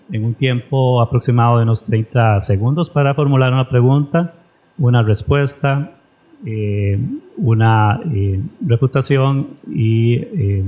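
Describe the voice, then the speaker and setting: A man's voice: medium pitch, a little mellow. A man moderating an event, formally introducing the speakers, hesitating often with filler sounds.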